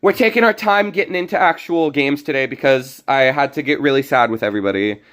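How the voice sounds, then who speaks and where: A young man streaming a card game, talking casually and rambling with many restarts, his voice slightly hoarse. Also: mid-range voice, fast talker, fairly bright tone. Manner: rambling, self-correcting. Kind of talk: casual gameplay commentary.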